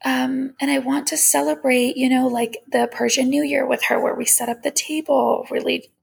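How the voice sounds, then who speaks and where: Creaky-voiced young woman, slightly high and husky. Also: little pitch movement, normal speed. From Iran. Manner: candid, reflective, conversational. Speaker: podcast host. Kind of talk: casual personal monologue.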